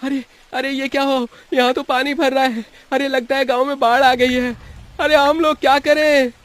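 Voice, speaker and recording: Man playing a high-pitched young village son, urgent. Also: flat delivery, normal speed, a bit bright. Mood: panicked. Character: panicked young son of a poor village family.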